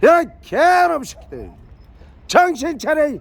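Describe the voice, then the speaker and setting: A man at a street protest, yelling insults with drawn-out angry cries outdoors. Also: extremely high pitch, sing-song, gravelly, very noisy. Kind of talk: shouted protest rant.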